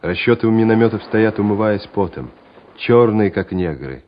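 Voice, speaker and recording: A man's voice: lowish voice, lively intonation, normal speed, slight background noise. A man narrating a war radio play in a scripted, dramatic storytelling style. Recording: dull radio recording with music behind.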